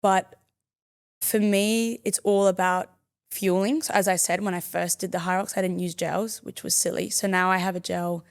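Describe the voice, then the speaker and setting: A woman's voice: on the low side, flat delivery, normal speed, vocal fry. A young woman born in the United States, chatting as a guest on a podcast in an upbeat, easygoing way and laughing often. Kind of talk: casual interview chat. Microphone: studio podcast mic.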